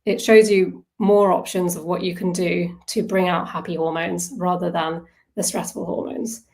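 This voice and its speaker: A woman with a slightly low voice leads an informal online workshop. She speaks conversationally, thinking aloud as she goes and often turning to her listeners with questions. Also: level tone, normal speed, vocal fry, a little mellow. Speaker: workshop facilitator on self-soothing.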